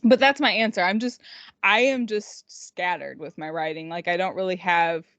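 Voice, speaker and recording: Lower-voiced woman chatting casually, dull dry audio. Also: moderate pace, a bit bright, no room sound. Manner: informal, rambling, heavy use of "like". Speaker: podcast co-host and indie author. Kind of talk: casual unscripted podcast chat.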